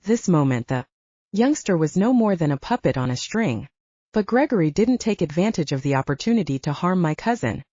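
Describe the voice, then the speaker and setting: A woman narrates an audiobook story in a relaxed, dark-toned voice. Her pitch moves around a lot, and the recording is very dry and a little dull. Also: fairly quick, no echo. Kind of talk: scripted fiction narration.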